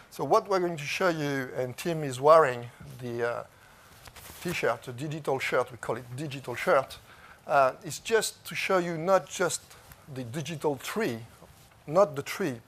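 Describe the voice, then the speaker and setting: A man with a French accent, French his first language, presenting at a technology conference in a halting way, with many fillers and restarts. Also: mid-range voice. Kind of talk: conference talk. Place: conference stage.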